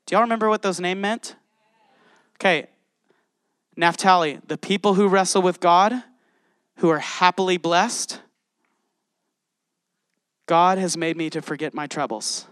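A man's voice: high voice, bright, forceful tone, smooth, no echo, clean studio sound. A man preaching a sermon, reading scripture and speaking passionately straight to his congregation. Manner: passionate, addresses congregation directly. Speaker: pastor.

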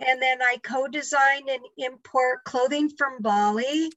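Bright, high-voiced woman. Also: unhurried, no room sound, highs cut off. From Colorado. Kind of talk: casual self-introduction on a video call.

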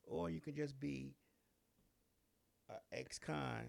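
Gravelly man in teasing banter. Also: medium pitch, slow pace. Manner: laughing, teasing back. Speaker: co-host and DJ. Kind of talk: casual banter.

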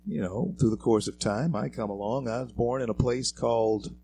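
A talk show host from Louisiana, giving a halting monologue full of fillers and repeated words that swells into emphatic peaks. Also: male voice, medium pitch, creaky, warm tone, a little hiss or hum. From Louisiana, American South.

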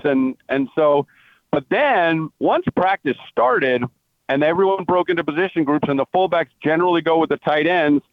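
Tinny phone audio, American man, slightly high voice, sports analysis, conversational, halting repeats. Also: no room sound. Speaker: football beat writer, radio guest. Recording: tinny, narrow phone line.

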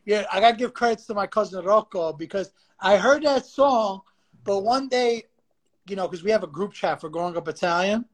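A man hosting a weekly podcast on a livestream, chatting with a guest in a relaxed and friendly way. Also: high voice, fairly quick. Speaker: podcast host. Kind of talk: casual chat.

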